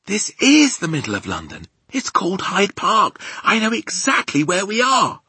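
A man voicing a bold, determined little girl in a storybook, speaking in an urgent, breathy whisper. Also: mid-range voice, huge pitch swings, normal speed, pushed and bright, no room sound, voice pushed up, full character voice. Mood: assured. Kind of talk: storybook character voice.

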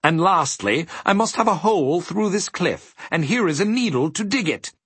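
A man playing an amiable, excessively courteous, faceless gentleman in a children's storybook. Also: high-pitched, expressive, normal speed, breathy edge, no room sound, pitched above the performer's own voice, exaggerated voice. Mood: polite, cheerful. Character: elegant faceless gentleman demon, amiable and over-polite.

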